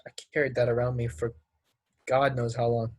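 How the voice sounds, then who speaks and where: A man's voice: mid-range voice, level tone, moderate pace, darkish tone, no echo, lightly acted. A man playing a brash, resentful young actor in a play reading, speaking in a blunt, casual, clipped way. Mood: subdued, reflective. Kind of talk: play readthrough acting.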